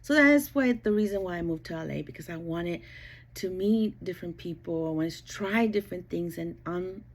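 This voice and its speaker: A woman's voice: lowish voice, moderate pace. A middle-aged woman from El Paso, Texas, bilingual in Spanish and English since childhood, casually introducing herself to viewers in a chatty, rambling way. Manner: chatty, rambling, often checking in with "okay" and "you know". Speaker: YouTube cooking channel host.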